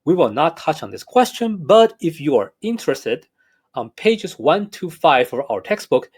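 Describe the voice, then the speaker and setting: Hong kong accented guy, math prof, fairly high voice, swoops up and down a lot, careful explaining. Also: breathy edge. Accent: Hong Kong English. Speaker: math professor. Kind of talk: recorded lecture narration.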